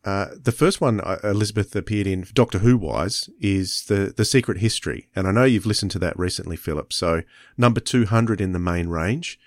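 A man's voice: lowish voice, fairly quick, few pauses, no echo, studio quality. A man co-hosting a podcast, chatting casually. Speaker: podcast co-host.

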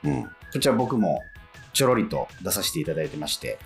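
A man's voice: deep voice, very animated, a little fast, gravelly, faint music behind. A man hosting a radio show, chatting politely and interviewing a guest with frequent small responses.

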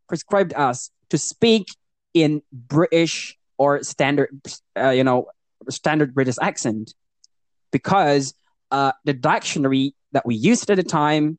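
A man's voice: on the high side, no echo. A young man from Indonesia chatting casually on a podcast, switching between Indonesian and English with lots of fillers and restarts. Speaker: podcast co-host. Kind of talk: casual bilingual podcast chat.

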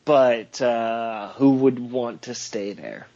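A man's voice: mid-range voice, takes it slowly, warm tone, lo-fi sound. A man co-hosting a casual sports podcast, joking and making bold predictions with frequent stammered restarts. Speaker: podcast co-host.

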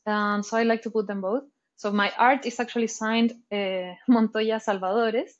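A woman's voice: a little high, fairly even intonation, normal speed. Hesitant Chilean woman, slightly muffled. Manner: hesitant, many restarts and fillers. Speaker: guest artist. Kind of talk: casual interview chat.

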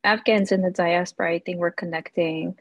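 A woman's voice: on the low side, steady tone, fairly quick, dry recording. Young american woman guest on a podcast, friendly, lots of ums, dry close mic. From United States. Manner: friendly, many ums and uhs, laughs. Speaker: guest, English instructor and food writer. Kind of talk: casual interview.

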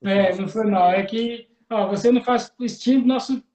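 A man hosting a podcast, chatting in a relaxed, slangy way and laughing easily. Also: high voice, fairly quick, roomy sound, crowd noise. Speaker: podcast host. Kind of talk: casual interview chat.

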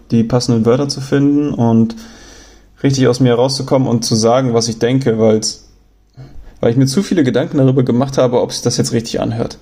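A young man from Germany talking on his own in a relaxed, rambling way, often pausing with fillers and restarting sentences. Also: mid-range voice. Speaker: podcast host. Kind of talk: casual spoken monologue.

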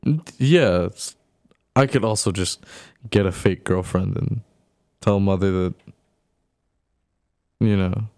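A man's voice: deep voice, slow, long pauses, darkish tone, dry recording, clean studio sound. A man in his early twenties chatting casually with a friend, talking hesitantly with many restarts. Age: 20s. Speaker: friend in conversation. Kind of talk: casual chat.

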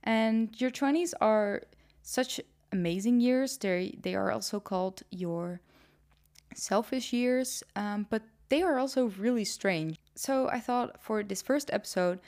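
A woman's voice: fairly high pitch, a little slow, darkish tone. A woman in her twenties from the Netherlands with a Dutch accent, hosting a casual solo podcast and talking in a chatty, rambling way with frequent ums. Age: 20s. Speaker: podcast host.

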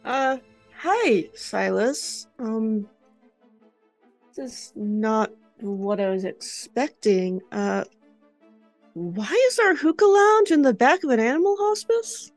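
A woman's voice: fairly high pitch, expressive, takes it slowly, soft background music. A woman playing a flustered, skeptical podcast interviewer in an improvised audio drama, stammering and muttering. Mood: confused. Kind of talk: unscripted in-character audio drama.

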